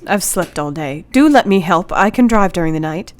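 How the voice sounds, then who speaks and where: An actress playing a brave, helpful Victorian wife in a radio drama, earnest, polite and formal in manner. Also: female voice, fairly low pitch, lively intonation, normal speed, close to an everyday voice. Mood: anxious, earnest.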